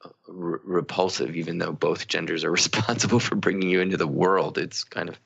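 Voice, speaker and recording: A man co-hosting a radio discussion in a conversational, reflective way, feeling out his thoughts haltingly. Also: low voice, fairly even intonation, creaky, fairly bright tone, slightly rough. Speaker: radio show co-host. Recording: slightly dull lo-fi radio audio.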